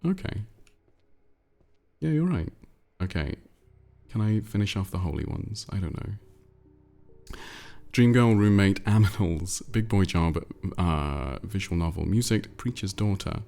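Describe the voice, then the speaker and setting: Deep, gravelly, congested male streamer. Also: animated, measured pace, long pauses, warm tone. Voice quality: nasal, congested. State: congested with a cold. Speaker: livestream host. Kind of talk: casual livestream chat, reading viewer messages aloud.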